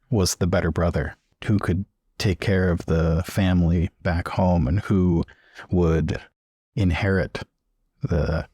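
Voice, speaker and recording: A man improvising a story for a tabletop role-playing game in a conversational, halting, deliberate way. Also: deep voice, a little mellow, dry recording, studio quality.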